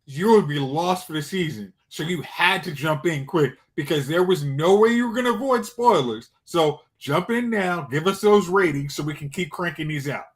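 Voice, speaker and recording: A man's voice: on the high side, animated, moderate pace, few pauses. A man co-hosting a podcast, in casual chat about pop culture. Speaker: podcast co-host.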